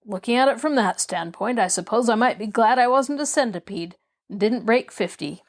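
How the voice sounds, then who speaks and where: A woman's voice: fairly high pitch, normal speed, close to an everyday voice. A woman voicing a cross, bitter bedridden man in a storybook, curt and grim with grudging, sardonic humor. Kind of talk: storybook character voice.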